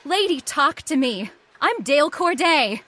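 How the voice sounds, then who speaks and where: Woman acting a high-voiced, distraught young heiress. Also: animated, measured pace, fairly bright tone. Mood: pleading, insistent. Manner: dramatic, disbelieving, questioning. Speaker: actor in audio horror drama. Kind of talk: old-time radio horror drama acting.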